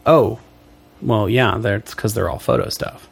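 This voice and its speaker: A man's voice: fairly low pitch, measured pace, creaky, breathy edge. Podcast co-host, male, wheezy with allergies, loose joking delivery with frequent chuckles. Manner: joking, chuckles often, rambling explanations. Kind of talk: casual podcast chat.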